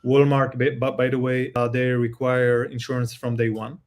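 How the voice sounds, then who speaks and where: A man's voice: medium pitch, flat delivery, moderate pace. A man with an Israeli accent, a guest expert explaining things step by step in a live Q&A, with many fillers and restarts.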